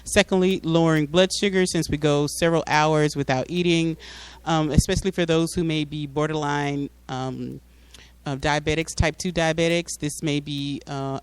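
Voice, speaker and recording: A man's voice: fairly high pitch, fairly even intonation, moderate pace, clean tone, dry recording. A man giving an informal explanatory talk to a community audience, often repeating words as he searches for phrasing. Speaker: public speaker at a mosque. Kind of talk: informal public address with slides.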